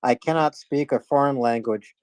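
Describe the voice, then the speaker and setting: A man's voice: mid-range voice, normal speed, a little mellow, no echo. A man in his sixties from coastal Maine, hosting a live audio call in a folksy, hesitant way with many fillers. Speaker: host. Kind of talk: casual chat on a live audio space.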